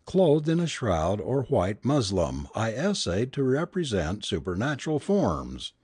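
A man's voice: fairly low pitch, wildly swooping pitch, unhurried, a little mellow, husky, no room sound, studio quality, exaggerated voice. A man voicing a worn-out, frightened and submissive woman in an audiobook, pleading in an anguished, melodramatic way. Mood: anguished, bitter. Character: worn-out, terrified, submissive woman, a medium's assistant. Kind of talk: dramatic audiobook character reading.

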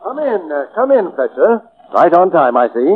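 An actor playing a folksy, persuasive senator with an avuncular manner in an old-time radio drama. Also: male voice, a little high, very animated, normal speed, narrow, tinny audio. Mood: genial. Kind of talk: 1940s radio acting.

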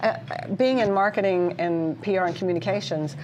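The woman moderator at a business event, affirming her guest in a slightly low voice, with a faint noise behind her. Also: brisk, muffled recording. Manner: affirming, brief agreeing remarks. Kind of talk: casual panel moderating.

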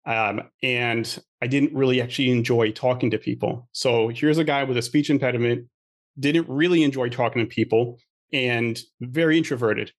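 A man's voice: medium pitch, steady tone, moderate pace. A middle-aged man from Queens, New York, whose first language is French, speaks as a podcast guest. His voice sits in a middle register. He tells his story in a chatty, confident way and drops in jokes. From Queens, New York, USA. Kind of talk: casual interview storytelling.

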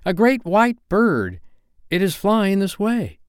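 Man playing an eager, high-voiced storybook boy. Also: sing-song, slow, smooth, no echo, clean studio sound, exaggerated voice. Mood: awed. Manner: eager, exclaiming. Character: excitable, simple young boys of an adventure gang.

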